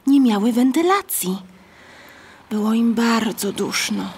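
A woman reading scripted narration for a children's story, with a husky, breathy voice. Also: medium pitch, slight background noise.

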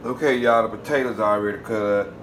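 A man's voice: lowish voice, quick pace. Laid-back man with a faint room hum. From Southern United States. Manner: relaxed, chatty, addresses viewers as "y'all". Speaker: cooking channel host. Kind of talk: casual cooking vlog narration. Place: home kitchen.

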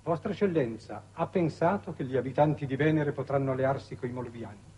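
A man playing a stern, coldly dutiful military colonel with a husky voice, formal and commanding, in 1960s Italian television drama. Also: medium pitch, a little fast, darkish tone, natural voice. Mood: cold, pressing.

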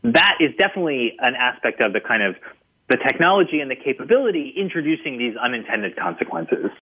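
A man's voice: medium pitch, very animated, brisk, husky. A man being interviewed as a book's author, answering thoughtfully with many fillers and restarts. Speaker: guest author. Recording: tinny phone-line audio.

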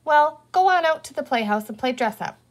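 A woman voicing a busy, fed-up mother in a story, firm, brisk and insistent. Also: sits high, animated, pitched above the performer's own voice.

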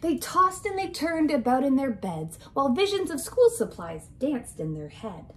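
A woman in her twenties, a kindergarten teacher, reading a picture book aloud to young children in an expressive, sing-song way. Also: high voice, moderate pace. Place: small indoor room with decorated walls.

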